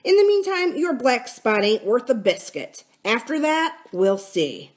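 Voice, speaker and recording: A woman's voice: a little high, sing-song, a little slow, pitched above the performer's own voice. A woman voicing a cunning, scornful male pirate captain and sea cook in a storybook, with sardonic, folksy sailor talk. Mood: defiant. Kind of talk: dramatic storybook character reading.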